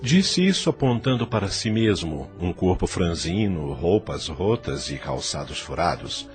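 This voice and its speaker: Narrator for an audio novel: Brazilian man, very low register, expressive melodic delivery, reading over prominent music. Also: unhurried.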